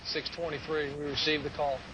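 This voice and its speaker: A man's voice: fairly high pitch, level tone, a little fast, a loud crowd. An American man, a police officer, giving a formal account in an interview. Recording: dull audio, sirens and noise behind.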